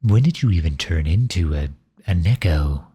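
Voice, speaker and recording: A man's voice: low-pitched, expressive, moderate pace, warm tone, husky, exaggerated voice. A man acting in an ASMR roleplay as a grumpy, exasperated male creator with a sarcastic, flustered, grudging manner.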